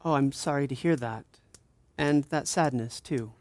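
A man's voice: mid-range voice, normal speed, darkish tone, dry recording, lightly acted. Guy playing a friendly male student in an audio drama, casual and keen, bit of a tease, dry close mic. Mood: sympathetic. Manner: casual, enthusiastic. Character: eager, friendly male student, empathetic and teasing. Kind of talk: fiction podcast acting.

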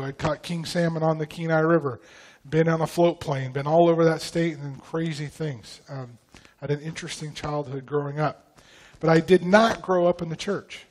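A man from Idaho leading prayer and teaching at church, slightly raspy from a recent vocal cord infection, talking in a relaxed, loose way with many ums. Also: on the high side. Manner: relaxed, conversational, frequent ums and throat clearing. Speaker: pastor.

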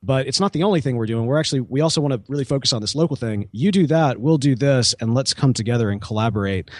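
A man's voice: mid-range voice, expressive, fast talker, no echo. Casual man chatting as an interview guest. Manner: informal, talks in hypothetical quoted dialogue. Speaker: podcast app co-founder, interview guest.

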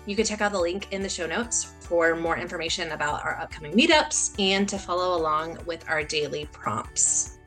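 A woman's voice: lowish voice, expressive, normal speed, fairly bright tone, faint music behind. A woman from the United States hosting a podcast, talking casually and chattily in a rambling way with lots of filler words. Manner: chatty, rambling, full of "like" and "you know" fillers. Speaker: podcast host. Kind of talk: casual solo podcast talk.

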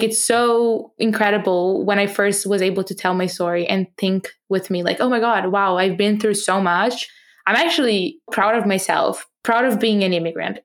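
A young adult woman with a non-native accent, a podcast host, speaking earnestly and passionately about her project, with frequent fillers and restarts. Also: medium pitch. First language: not English.

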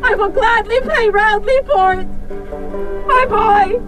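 Distant, very high-pitched anguished captain. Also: male voice, slow. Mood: begging. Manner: beseeching, emphatic cries. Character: anguished father, a whaling captain begging for help. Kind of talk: theatrical audio drama acting. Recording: distant voice under loud music.

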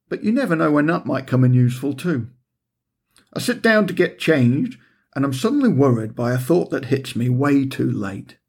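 Husky, wry middle-aged man reading. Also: a little high, a little mellow. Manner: dry, wry storytelling with understated humour. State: recovering from a heavy cold. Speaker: author reading his own book. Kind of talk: audiobook narration.

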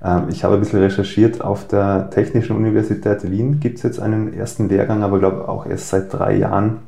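A man, interviewing a guest in a relaxed, casual way. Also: fairly low pitch, a little fast, creaky. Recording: echoey room.

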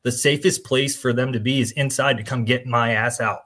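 A man's voice: mid-range voice, steady tone, normal speed, a bit bright. A man who is a firefighter and instructor speaks earnestly and analytically in a casual chat.

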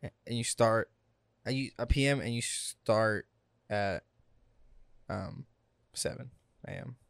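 A young man co-hosting a podcast in casual chat, with relaxed slangy talk from a small home studio. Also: medium pitch, slow pace, creaky, smooth, dry recording. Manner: laid-back slangy talk, drifts louder when excited. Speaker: podcast co-host.

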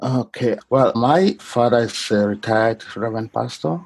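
A middle-aged man from Cameroon telling his life story in an interview, polite and unhurried. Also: medium pitch, vocal fry, a little mellow, slightly rough. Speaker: guest, clinical lab scientist. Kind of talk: casual interview.

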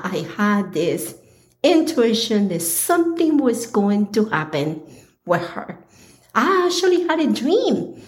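A woman hosting her own podcast, talking earnestly with a slightly raspy, hoarse voice, pausing and restarting often. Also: mid-range voice. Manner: slow, earnest, with pauses and restarts. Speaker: podcast host. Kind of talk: casual solo podcast talk.

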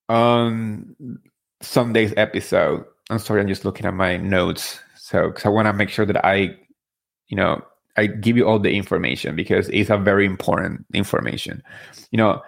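A man's voice: fairly low pitch, vocal fry. A man from Spain with a Spanish accent hosting a podcast, talking through his thoughts in an earnest, heartfelt way with many fillers and restarts. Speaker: podcast host. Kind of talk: casual solo commentary.

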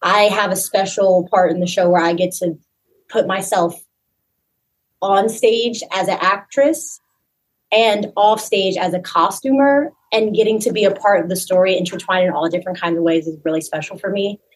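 A woman's voice: mid-range voice, normal speed, rough recording. A young woman who acts and designs costumes in a community musical, introducing herself in a bubbly, giggly way. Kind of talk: interview guest.

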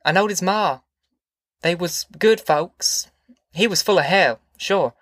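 A man's voice: high-pitched, slow pace, a bit bright, no room sound, clean studio sound, voice pushed up. A man voicing an anxious, tender middle-aged farm mother in an audiobook, with a rural Southern American accent and a hesitant, earnest way of talking. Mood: earnest, pouring out. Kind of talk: audiobook character acting.

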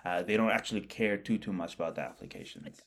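A man from Bangladesh, co-hosting a casual podcast chat about math in a musing way that often trails off quietly. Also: sits low, expressive, fast, vocal fry. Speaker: podcast co-host, mathematician.

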